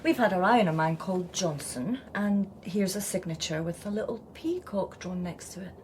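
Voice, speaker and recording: An actress playing a bold, sharp-eyed young police detective in a radio drama, probing and teasing. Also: female voice, on the low side, normal speed, slight background noise, natural voice. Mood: suspicious, pointed.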